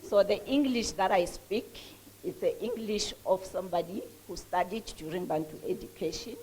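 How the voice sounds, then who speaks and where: A woman's voice: mid-range voice, normal speed, a little mellow, slight background noise. A South African woman with a Mpumalanga Swati accent, giving a chatty, self-deprecating launch speech with many restarts.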